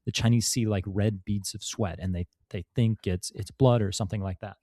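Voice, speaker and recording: A man's voice: fairly low pitch, fairly even intonation, fairly quick, mellow, dry recording, studio quality. A man, a podcast guest and author, telling a history story in casual talk, with many fillers and restarts. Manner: rambling, many fillers and restarts, laughs now and then.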